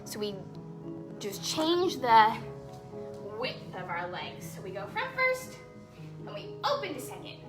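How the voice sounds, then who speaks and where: Young female kids' dance teacher, very animated and encouraging, recorded at a distance in an echoey room with music. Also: a little high, measured pace, long pauses. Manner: encouraging, praising as they go. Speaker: children's ballet teacher. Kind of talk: instructing a children's dance class.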